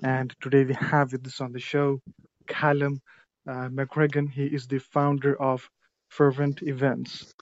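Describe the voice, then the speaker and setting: A man's voice: mid-range voice, a little slow, dry recording. A man hosting a podcast who asks questions in a casual interview with short prompts and fillers. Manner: flat, brief prompts with fillers. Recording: dull muffled dry recording.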